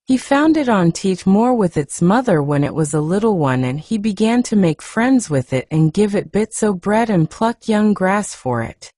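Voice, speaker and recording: Woman voicing a chatty Yorkshire housemaid, mellow and soft. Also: mid-range voice, sing-song, moderate pace, few pauses, dry recording, studio quality. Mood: chatty, good-tempered. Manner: chatty, homely, forthright. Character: good-tempered, outspoken young Yorkshire housemaid, homely and talkative.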